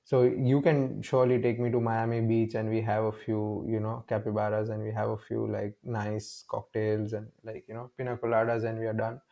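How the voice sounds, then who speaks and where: Indian-born man with a mellow voice. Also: on the low side, moderate pace, creaky, few pauses, lo-fi sound. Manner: animated storytelling, acts out dialogue, many restarts. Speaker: guest. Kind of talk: casual conversational interview.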